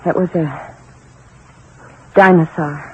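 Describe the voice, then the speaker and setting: An actress playing an anxious, devoted wife in 1940s radio horror, with a pleading, earnest, dramatic delivery. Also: female voice, low-pitched, fairly even intonation, slow pace, husky, full character voice. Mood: hushed, awed dread. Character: anxious, devoted wife fleeing a tunnel monster. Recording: muffled, very noisy 1940s radio recording.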